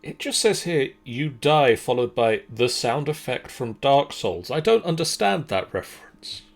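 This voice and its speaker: A man with a creaky voice chatting and joking casually as a player in a group game. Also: medium pitch, big pitch swings, a little slow.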